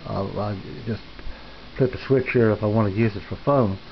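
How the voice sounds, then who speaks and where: A middle-aged or older man giving a casual, unscripted tour as a hobbyist, speaking in a halting, unhurried, plain way. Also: on the low side. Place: small home radio shack, indoors. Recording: dull lo-fi camera audio, faint noise.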